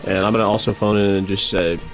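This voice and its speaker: A man's voice: fairly low pitch, steady tone, fast talker. A man playing a tabletop role-playing game, speaking informally and brashly as he describes actions and slips into character. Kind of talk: casual in-character role-play. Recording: music behind the voice. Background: music.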